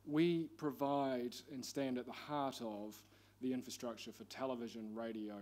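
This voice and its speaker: A middle-aged man with a New Zealand accent, speaking as a panelist at a conference in a measured, formal way. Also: mid-range voice, steady tone, a little mellow. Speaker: panel speaker, investment executive. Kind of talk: conference presentation.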